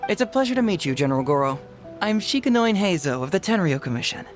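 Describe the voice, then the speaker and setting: An actor playing a young male detective in a video game, quick-witted, easygoing and teasing. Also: high voice, very animated, faint music behind. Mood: easygoing, playful. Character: young male detective, sharp and playfully confident. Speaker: detective character.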